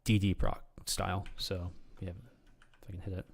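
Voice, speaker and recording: A man with a slightly hoarse voice giving an unscripted, rambling game tutorial, full of ums and restarts in a relaxed, casual way. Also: deep voice, normal speed. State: slightly hoarse, losing his voice. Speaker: game guide video creator.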